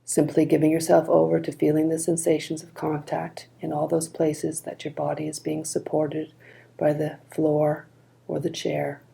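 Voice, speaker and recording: A woman leading a guided body scan meditation in a calm, gentle, unhurried manner. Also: low voice, vocal fry.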